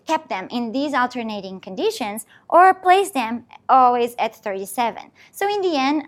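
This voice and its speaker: Lively woman scientist. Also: on the high side. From Portugal. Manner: explanatory, walking through an experiment step by step. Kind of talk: presentation talk.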